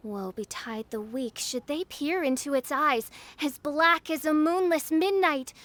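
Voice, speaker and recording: A woman's voice: sits high, a bit bright, a little hiss or hum. A woman playing a grandiose young princess of doom in a video game, with archaic, melodramatic diction. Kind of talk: theatrical video game voice acting. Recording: music behind the voice.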